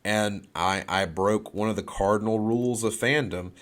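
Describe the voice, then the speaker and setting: Low-voiced man, mock-contrite sports talk. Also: normal speed, a bit bright. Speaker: sports podcast panelist. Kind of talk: casual sports chat.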